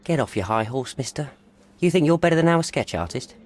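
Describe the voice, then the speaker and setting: A man playing a grumpy, animated policeman. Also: medium pitch, fairly quick, lightly acted. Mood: grudging. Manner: gruff, griping, blunt. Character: grumpy, sarcastic male police officer, put-upon. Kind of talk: video game cutscene acting.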